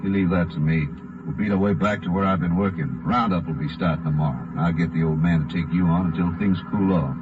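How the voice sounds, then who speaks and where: An actor as a low-voiced, bossy Western outlaw. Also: male voice, brisk, lightly acted. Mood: commanding. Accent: American. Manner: curt, commanding, folksy cowboy talk. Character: hard, bossy bank robber posing as cowpoke. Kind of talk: 1950s western radio drama acting. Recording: muffled vintage radio recording.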